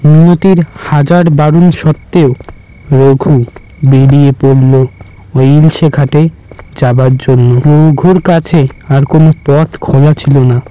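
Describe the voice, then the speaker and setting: A man's voice: on the high side, steady tone, takes it slowly, dark tone, rough recording. A man narrating a scripted horror story in a dramatic storytelling style.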